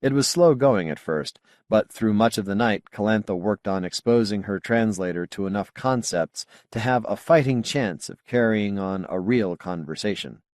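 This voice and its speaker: A man reading a science fiction novel as an audiobook narrator, with measured, clearly phrased delivery. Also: on the low side, lively intonation, darkish tone, no echo, studio quality.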